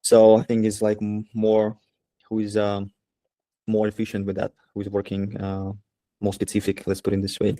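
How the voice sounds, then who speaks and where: A man in a casual business chat, speaking English as an in halting, agreeable phrases. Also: fairly low pitch, monotone, mellow, no room sound, rough recording. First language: not English. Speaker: prospective client.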